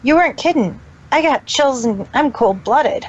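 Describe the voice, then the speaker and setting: A woman's voice: high voice, animated, normal speed, highs cut off. A woman voicing a young male dragon, a jumpy, wisecracking kid sidekick in a fan-fiction audio drama. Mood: startled, spooked.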